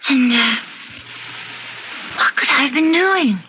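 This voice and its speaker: An actress playing a bored, cunning wife in her thirties in an old-time radio drama, with cool, theatrical, deliberate delivery. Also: high-pitched, expressive, sharp tone, heavily acted. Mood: bewildered. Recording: dull, noisy vintage radio recording.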